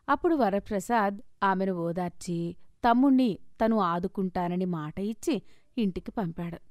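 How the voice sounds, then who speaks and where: A woman's voice: medium pitch, darkish tone, no echo, clean studio sound. A woman reading a scripted story as its narrator.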